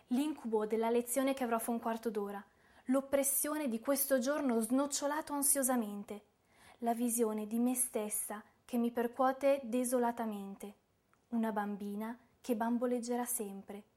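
High-voiced, anguished teenage girl poet, acted by a woman. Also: natural voice. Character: restless, self-reproaching seventeen-year-old girl poet, anguished. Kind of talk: dramatic poetry recitation.

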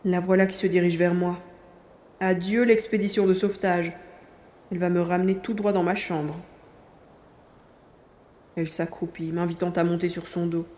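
A woman's voice: lowish voice, steady tone, moderate pace, pauses often, darkish tone, lightly acted. A woman playing an eager, stubborn young boy in a dramatised audiobook, speaking expressively and earnestly. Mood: anxious. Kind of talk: dramatised audiobook, first-person narration and dialogue.

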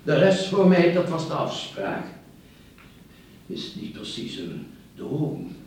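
Echoey, pompous, high-pitched gang boss. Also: male voice, sing-song, slow, a little mellow. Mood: smug. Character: smug gang-leading doctor, theatrical, condescending, controlling crook. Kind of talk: radio play acting. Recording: very echoey room, distant from mic.

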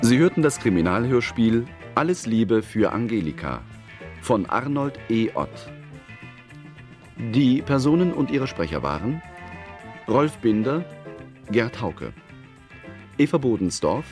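A man reading radio drama credits as a formal, deliberate 1970s announcer, name after name. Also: mid-range voice, very animated, a little mellow, faint music behind.

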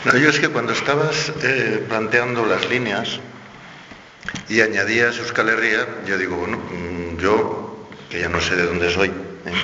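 A man from Spain speaking on a debate panel in an animated, colloquial, emphatic way. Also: lowish voice, a little slow, a little hiss or hum. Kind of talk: round-table debate. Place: theatre school hall. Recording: echoey hall, dull sound.

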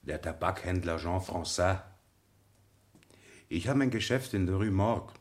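A man's voice: low voice, lively intonation, measured pace. A man playing a tobacco merchant who ponders, then testifies precisely, in a German radio play. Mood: pondering, informative. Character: deliberate, forthcoming tobacco merchant giving testimony.

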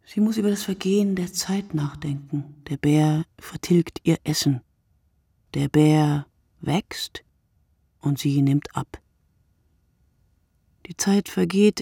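A sleepless, lonely woman writer broods in a radio play monologue. Her voice is low, mellow and husky, and each lyrical phrase is set down slowly and with care. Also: no echo, studio quality, full character voice. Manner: measured, contemplative, lyrical delivery.

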